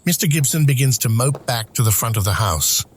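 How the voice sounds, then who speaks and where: A man's voice: mid-range voice, animated, brisk, a little hiss or hum. A man narrating scripted stage action as the narrator of an audio drama.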